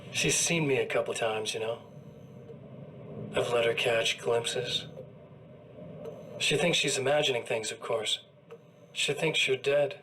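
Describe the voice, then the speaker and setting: Game villain, evil twin; mid-pitched, gloating and coldly intimate. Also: male voice, a little slow, long pauses, sharp tone, slight background noise, rough recording. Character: smug evil male doppelganger of a writer. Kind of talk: video game cutscene acting.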